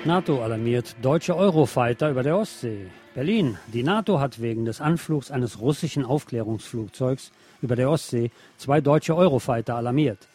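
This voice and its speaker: A man's voice: medium pitch, lively intonation, moderate pace, music in the background. A man reading scripted political news and commentary as a radio presenter.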